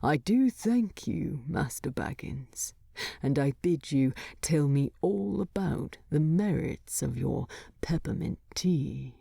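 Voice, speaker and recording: A woman voicing a proud, gruff male dwarf king with a low, rasping, breathy voice in a fantasy story. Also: animated, takes it slowly, warm tone, no echo, studio quality, heavily acted. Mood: dry, fond.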